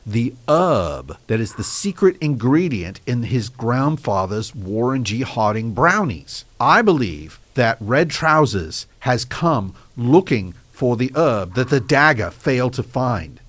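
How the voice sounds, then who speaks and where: A man's voice: mid-range voice, very animated, slow pace, hardly any pauses, a bit bright, dry recording, heavily acted. A man playing an earnest, haunted ex-detective in an audio drama, telling his story in a courteous, old-fashioned way. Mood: urgent, intense. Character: earnest, haunted male British ex-detective, grieving and obsessive.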